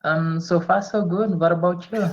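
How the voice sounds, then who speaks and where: A man's voice: sits high, fairly even intonation, normal speed. A man who speaks English as a learner, chatting casually and hesitantly with frequent small laughs. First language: not English. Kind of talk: casual chat in a language lesson. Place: online video call. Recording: dull lo-fi call audio.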